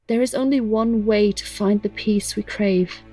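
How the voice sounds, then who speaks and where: A woman's voice: mid-range voice, fairly even intonation, a little slow, mellow, lightly acted. A woman playing a loving, resolute young heroine in an audio drama, speaking with earnest emotion. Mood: pleading. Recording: music under the voice.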